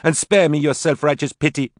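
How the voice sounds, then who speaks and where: A male narrator puts on a bitter young woman, voice pushed up high and loud, snapping and swearing at her mother. the booth is dead dry with no room sound at all. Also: quick pace, no echo, natural voice. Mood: angry. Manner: sharp, pleading, swearing outbursts. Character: furious grown daughter, young woman, bitter and tearful.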